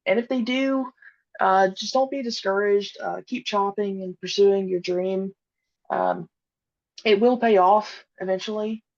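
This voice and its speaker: Woman, dull lo-fi call sound, mid-pitched, hesitant, modest, casual podcast guest. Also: fairly even intonation, measured pace, darkish tone. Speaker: podcast guest, audio drama creator. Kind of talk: casual interview chat.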